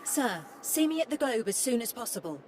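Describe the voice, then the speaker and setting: An actress voicing a calm, polite female AI assistant with a formal, courteous manner in video game dialogue. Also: fairly high pitch, a little fast, a little hiss or hum. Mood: measured. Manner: formal, courteous, addresses him as sir. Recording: with game music behind.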